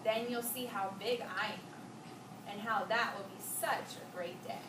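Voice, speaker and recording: A woman's voice: medium pitch, steady tone, unhurried. A young woman, a student teacher, reading aloud and talking simply with young children. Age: young adult. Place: indoor school classroom with background noise. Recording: roomy, distant, noisy classroom recording.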